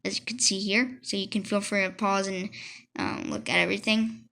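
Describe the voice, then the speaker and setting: A woman reading a children's book aloud in an expressive, conversational storytelling style with asides. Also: mid-range voice, moderate pace, vocal fry. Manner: conversational first-person delivery with asides. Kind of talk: expressive read-aloud narration.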